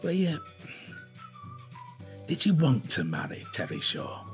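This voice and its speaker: An older British man acting a shrewd, blunt, gruffly affectionate detective inspector and husband in a naturalistic radio drama. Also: a little high, huge pitch swings, slow pace, soft background music, heavily acted. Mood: gruffly fond. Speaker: actor in an audio drama.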